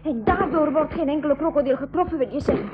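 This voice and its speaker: A woman's voice: high voice, fast, natural voice. A woman with a Flemish accent playing an anxious, inquisitive young girl in a radio play. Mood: worried, curious. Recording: muffled, very noisy 1980s radio recording.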